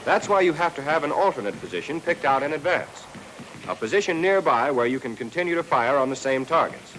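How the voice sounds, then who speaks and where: An American man narrating a 1940s military training film, reading a scripted lesson and addressing trainees directly with clear instructions. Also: fairly high pitch, animated, fairly quick, a bit bright, a little hiss or hum, low-quality recording.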